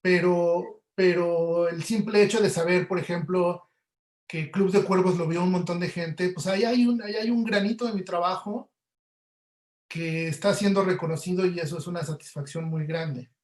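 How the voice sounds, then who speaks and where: A man's voice: high voice, fairly even intonation, moderate pace. A man with a Mexican accent, giving conversational answers as a guest audio producer in an online webinar. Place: indoor room, online webinar call. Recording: roomy, echoey sound.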